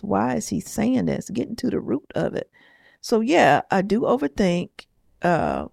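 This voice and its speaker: A woman co-hosting a casual chat with her husband, talking in a reflective, soft-spoken way. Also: mid-range voice, vocal fry, dry recording, studio quality. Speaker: podcast co-host. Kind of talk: casual married-couple chat.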